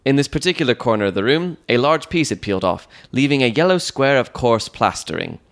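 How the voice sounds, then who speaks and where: A man's voice: medium pitch, very animated, brisk. A man reading scripted first-person narration for a dramatized detective story.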